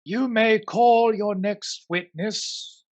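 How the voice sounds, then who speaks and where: A woman playing a stern, authoritative judge with formal, measured speech in a period radio play. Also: medium pitch, darkish tone. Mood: stern, official.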